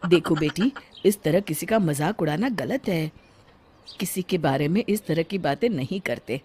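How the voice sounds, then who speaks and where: A woman voicing a middle-aged father in a cartoon story, gently admonishing and persuasive. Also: deep voice, normal speed, slight background noise, close to an everyday voice. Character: calm, reasonable middle-aged father of the bride.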